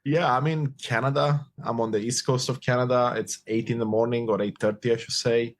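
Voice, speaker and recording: A man's voice: medium pitch, steady tone, a little fast. A man in his forties, from Romania with Romanian as his first language, talking upbeat and reflective as an interview guest, often repeating phrases. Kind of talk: casual interview conversation.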